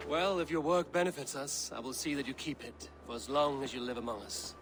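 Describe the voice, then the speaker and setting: An actor playing a stoic Viking warrior leader in a video game, talking in a terse, dry and guarded way. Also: male voice, mid-range voice, fairly quick, music in the background, lightly acted. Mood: reserved, firm. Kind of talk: scripted dramatic game dialogue.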